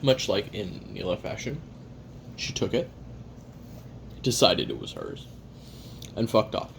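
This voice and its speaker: A low-voiced, gravelly male assassin played by a man confides his memoirs to a recorder. He is weary and sardonic, halting between phrases and swearing casually as he eats. Faint background noise sits under the audio drama monologue. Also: expressive, a bit bright, full character voice. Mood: blunt, irritated. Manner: halting, sardonic, casually profane, talking while eating. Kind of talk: first-person confessional audio roleplay monologue.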